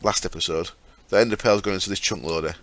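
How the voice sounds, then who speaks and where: A man with a fairly low voice and a sore, croaky throat, talking through his game. He chats casually as he explains each step, with plenty of ums and asides. He speaks into a desktop mic as he records his screen. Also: fast, a bit bright. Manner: chatty, explains step by step with fillers. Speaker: gaming channel host.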